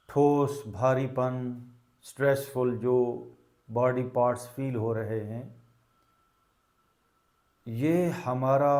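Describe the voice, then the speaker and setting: A man leading a guided meditation as a teacher, giving calming, deliberate instructions in short phrases with long pauses. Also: medium pitch.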